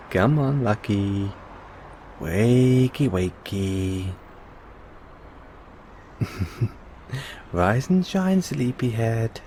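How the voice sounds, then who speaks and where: A man playing a gentle, caring android owner in an intimate one-sided audio roleplay, coaxing and inquisitive, with long pauses. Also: lowish voice, slight background noise, heavily acted. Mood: tender, cheerful.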